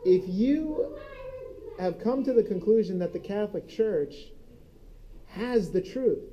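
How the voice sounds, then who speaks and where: A man's voice: high-pitched, warm tone, slight background noise, rough recording. A man leading a church Bible study group, teaching conversationally and often asking "right?". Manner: conversational, keeps checking in with "right?". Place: church meeting room.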